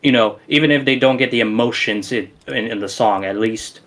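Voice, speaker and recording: A man's voice: medium pitch, a little fast, a bit bright. A man with a Romanian accent, a musician guest on a casual podcast, talking in an emphatic, rambling way with many restarts.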